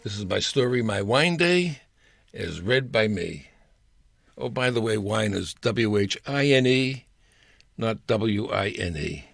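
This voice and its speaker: A man's voice: lowish voice, animated, takes it slowly, creaky, fairly bright tone, no echo. An older man reading his own humorous personal story aloud, as a scripted piece.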